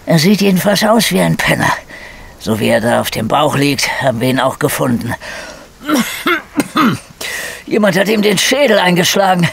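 An actor playing a grumpy, cynical middle-aged police detective with flu in a radio crime drama, blunt and colloquial. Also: male voice, fairly high pitch, sing-song, a little slow, vocal fry, fairly bright tone, breathy edge, heavily acted. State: coughing with a cold.

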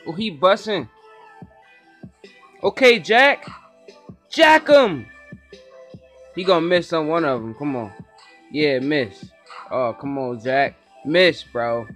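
Young man, gamer host, slangy, excitable, casual commentary, slow pace, music in the background. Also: medium pitch.

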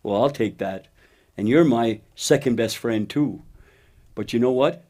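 A man voicing a steady, kindly cow who talks plainly and patiently, gently teaching, in a children's puppet show. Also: mid-range voice, measured pace, lightly acted. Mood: cheerful. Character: steady, faithful cow, a wise kindly friend.

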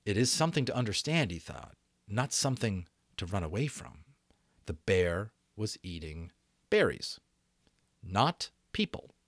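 A man in his thirties reading a novel aloud as dramatic narration, with deliberate pauses and stressed repeats, close to a microphone. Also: on the low side, sing-song, no echo. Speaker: audiobook reader. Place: small room at home. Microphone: desk microphone close in front.

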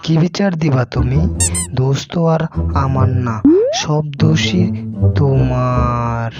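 A man's voice: medium pitch, takes it slowly, natural voice. A man voicing a foolish, cheeky husband in a comic cartoon, with exaggerated wisecracking delivery. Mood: teasing. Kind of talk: comic cartoon joke dialogue. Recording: dull lo-fi audio under loud music.

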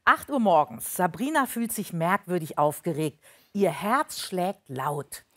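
A woman reading a story aloud as expressive narration in a TV studio. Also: lowish voice, measured pace.

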